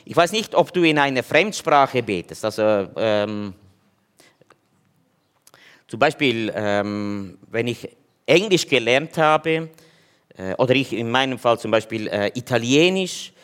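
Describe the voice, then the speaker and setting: A man's voice: medium pitch, animated, unhurried, fairly bright tone, clear, resonant tone. A man giving an informal sermon in a conversational way, hesitating often with fillers. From German-speaking region. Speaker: preacher.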